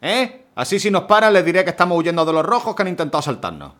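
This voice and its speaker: An actor playing a gruff, swearing, reluctant man. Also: high-pitched, big pitch swings, fast talker, a bit bright.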